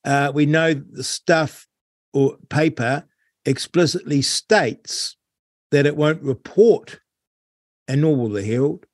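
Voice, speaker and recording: A man's voice: on the high side, slow pace, no echo, clean studio sound. Wry New male talk host. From New Zealand. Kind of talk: casual interview chat.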